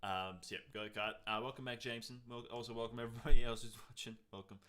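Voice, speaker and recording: A man co-hosting a podcast, chatting casually in an animated, jokey way. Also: medium pitch, quick pace. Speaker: podcast co-host. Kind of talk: casual chat.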